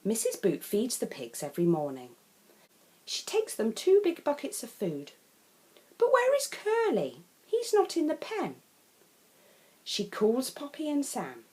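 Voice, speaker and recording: Very animated English woman reading a storybook. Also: high-pitched, measured pace. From England. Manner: simple, clearly phrased, expressive. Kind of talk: children's storybook narration.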